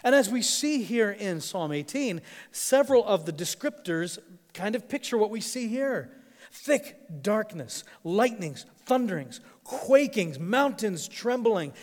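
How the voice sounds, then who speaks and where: A man who grew up in East Africa, preaching a sermon, swinging between hushed and emphatic delivery with dramatic pauses. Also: high voice. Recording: long hall reverb.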